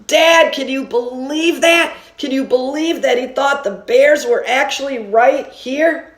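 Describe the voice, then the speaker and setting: A woman reading as an excitable, exasperated fourth-grade boy, animated and incredulous. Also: sits high, measured pace, echoey room, voice pushed up.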